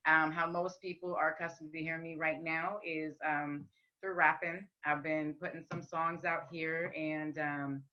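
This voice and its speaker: A woman with a deep, raspy voice, talking candidly as a podcast guest with frequent ums and restarts. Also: little pitch movement, unhurried, highs cut off. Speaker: podcast guest, rapper and singer. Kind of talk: casual interview chat.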